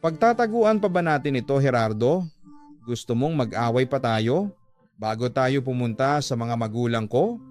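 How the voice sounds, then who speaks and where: A woman in her thirties, acted out by a male narrator, defensive and placating with an irritable edge. The radio drama delivery stays at a medium male pitch, with music playing underneath. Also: lively intonation, moderate pace, darkish tone, natural voice. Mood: irritated.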